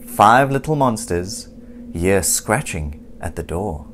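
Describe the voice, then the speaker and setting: A storybook reader giving an expressive, theatrical read-aloud for children, deliberate and full of suspense. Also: deep voice, slight background noise. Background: music and sound effects.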